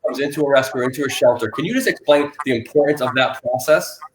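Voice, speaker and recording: Loud guy hosting a rescue livestream, talks super fast, lots of "like", echoey room. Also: medium pitch, background noise. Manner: rapid rambling with many restarts and fillers; loud, self-described loud person. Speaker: host of an animal rescue live stream. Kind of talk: casual live-stream interview.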